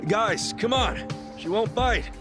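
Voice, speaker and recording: A man's voice: high-pitched, slow, over loud music. An actor playing a friendly, eager and awkwardly smitten man in a video game dub. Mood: eager, flustered.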